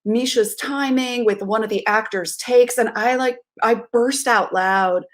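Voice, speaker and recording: A woman's voice: fairly high pitch, measured pace. A woman in her thirties giving reflective, earnest and emphatic interview answers as a theatre director, on a video call from a room at home. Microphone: video call with headphones.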